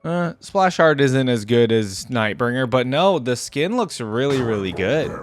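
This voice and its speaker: A man's voice: mid-range voice, lively intonation, a little slow, soft background music. A man giving casual, off-the-cuff reaction commentary as a video host, thinking aloud in an informal way.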